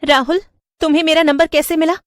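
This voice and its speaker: An anxious young woman from a horror cartoon, acted out by a female narrator. Her voice sits high and sounds close and dry. She speaks expressively, with hesitant questions and a lonely, uneasy temper. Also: fairly even intonation, fast, no echo, close to an everyday voice. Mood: wary, hurt. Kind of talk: dramatic cartoon story voice acting.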